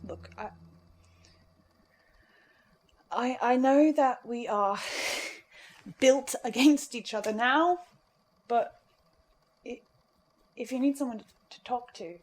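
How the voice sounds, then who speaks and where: A woman playing a hesitant, hungry archivist in an audio drama, speaking haltingly with small stammers. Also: a little high, darkish tone. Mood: hesitant, earnest. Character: hesitant, hungry marked archivist recording a podcast reaction.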